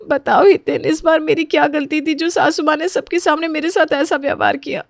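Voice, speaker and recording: A woman's voice: high voice, moderate pace, darkish tone, dry recording, clean studio sound, voice pushed up, close to an everyday voice. A woman acting a meek, hurt young daughter-in-law in a family audio drama, plaintive and pleading.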